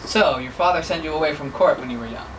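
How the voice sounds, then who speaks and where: A man's voice: on the high side, fast talker. Teenage boy, spoof documentary narrator, mock-serious, far mic, faint noise. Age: teens. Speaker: narrator and interviewer. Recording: distant mic, noisy room.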